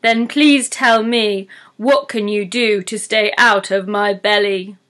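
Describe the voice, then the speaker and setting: A woman voicing a giant, greedy, boastful male troll with gruff, bullying talk and broken rustic grammar, in a storybook reading. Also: medium pitch, steady tone, slow, a bit bright. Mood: demanding.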